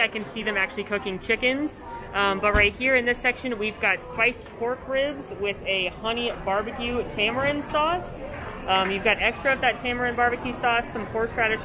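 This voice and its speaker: A young woman with an upbeat, gushing, chatty manner, giving a casual food review as a vlogger. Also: on the high side. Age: young adult. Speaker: food and theme park vlogger. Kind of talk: enthusiastic casual vlog review and food tour. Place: large buffet restaurant, indoors. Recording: busy restaurant crowd noise. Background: crowd chatter.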